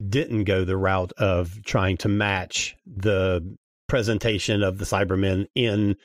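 Male podcast guest, fairly low voice, dry sound, informal talk. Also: steady tone, slow, dry recording. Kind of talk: casual podcast discussion.

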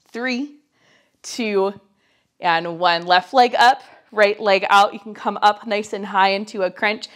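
A young woman in her twenties with a nasal, cold-stuffed voice, coaching a mat pilates workout while doing it herself, a little breathless, chatty and encouraging as she counts down. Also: medium pitch. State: getting over a cold, slightly breathless from exercise. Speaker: pilates instructor. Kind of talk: guided workout instruction. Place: small home studio, indoors.

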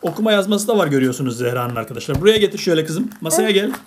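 Low-voiced woman chatting over toys. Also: lively intonation, very fast, a little mellow, slight background noise. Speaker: parent hosting toy unboxing videos. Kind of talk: casual narration for children, chatting with a child. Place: indoors at a table.

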